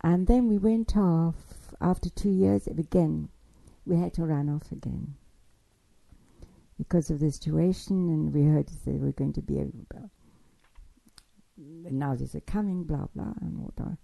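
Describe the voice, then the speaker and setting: Older woman, low and mellow-dark, halting oral-history storyteller. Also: vocal fry, low-quality recording. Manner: halting, digressive storytelling with many restarts. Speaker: interviewee recounting childhood. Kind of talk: spoken memoir, oral history.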